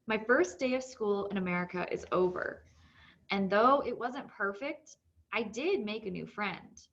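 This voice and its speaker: Female read-aloud narrator for children's fiction, mid-range pitch, slightly muffled lo-fi recording. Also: a little slow, a bit bright. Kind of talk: scripted narration of a children's novel.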